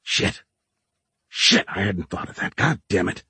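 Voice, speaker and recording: A man's voice: deep voice, lively intonation, normal speed, creaky, bright, forceful tone, husky, dry recording, exaggerated voice. A rattled, foul-mouthed man on the run, acted out by a male narrator. He sputters and frets in a flustered way, captured clean and dry like an audiobook. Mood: tense exasperation.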